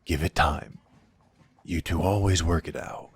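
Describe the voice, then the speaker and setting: Deep, husky werewolf right-hand man, acted by a man. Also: steady tone, a little slow, full character voice. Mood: reassuring, sly. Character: loyal, blunt, defiant werewolf right-hand man to a duke. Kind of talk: fantasy audio drama acting.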